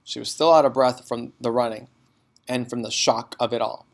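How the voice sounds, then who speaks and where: A man reads a children's story aloud in a medium-pitched voice. He delivers it as scripted narration, in the role of storybook reader. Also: fairly even intonation, moderate pace.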